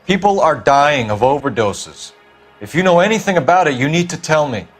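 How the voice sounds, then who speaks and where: An actor playing an earnest, upright police detective in a 1940s noir game, measured and pressing. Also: male voice, on the high side, animated, soft background music.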